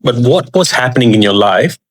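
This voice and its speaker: A man's voice: mid-range voice, lively intonation, a little fast, no room sound. A man hosting a podcast interview, asking questions in an encouraging, joking way. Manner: warm, encouraging, jokey prompts. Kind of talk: conversational interviewing.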